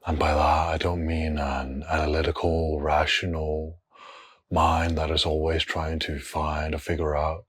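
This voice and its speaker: A man leading a guided meditation in a hushed, breathy, husky voice, speaking in short unhurried phrases with long pauses between them. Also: rumbling low, level tone.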